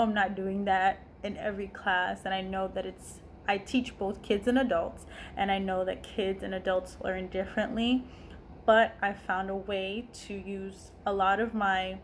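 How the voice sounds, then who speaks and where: A young woman in her twenties speaks to camera at an unhurried, easy pace, her pitch sitting in the middle of the range. She sounds earnest and heartfelt, often asking herself questions before answering them. A faint hum of noise sits under her voice. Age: 20s. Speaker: online language teacher. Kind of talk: casual talk to camera.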